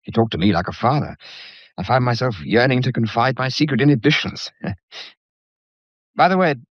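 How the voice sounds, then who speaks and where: An actor playing a debonair, flippant young gentleman with a wry, teasing and breezily bossy way of talking, in a period mystery drama. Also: mid-range voice, animated, normal speed, no room sound, muffled recording, lightly acted.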